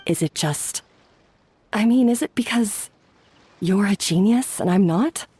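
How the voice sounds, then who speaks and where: An actress playing an insecure young head chef who talks in a subdued, hesitant way in a game cutscene. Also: female voice, medium pitch, unhurried, dark tone, breathy edge. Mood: downcast. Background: faint game music.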